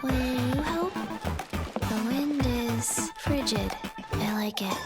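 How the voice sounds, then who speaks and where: High little zombie girl, slow and flat, kinda sleepy, loud music. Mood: deadpan. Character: small forgetful undead zombie girl, deadpan. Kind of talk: game character voice lines. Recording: game clip with loud music.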